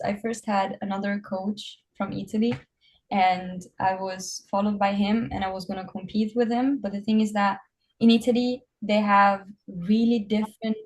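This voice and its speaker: Chatty woman with a lively storytelling manner. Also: mid-range voice, measured pace, low-quality recording. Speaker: bodybuilding competitor, guest. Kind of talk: casual chat.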